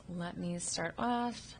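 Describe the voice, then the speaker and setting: A sick woman teacher with a slightly low voice talks through a test review into her computer microphone. She sniffles now and then and explains in a loose, informal way, with plenty of ums. Faint room noise sits behind her. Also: vocal fry, darkish tone. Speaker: radiography instructor. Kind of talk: recorded test review lecture over slides.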